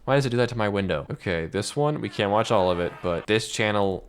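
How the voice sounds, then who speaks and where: A man's voice: lowish voice, quick pace, a little hiss or hum. A man giving casual, informal reaction commentary as a video host, often sounding puzzled and repeating his questions.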